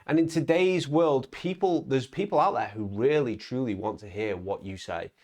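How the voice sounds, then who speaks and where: A man's voice: mid-range voice, normal speed, a little mellow. A young man giving a casual motivational talk to his viewers, emphatic, with frequent "right?" tags and stumbling restarts. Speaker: vlogger on online business.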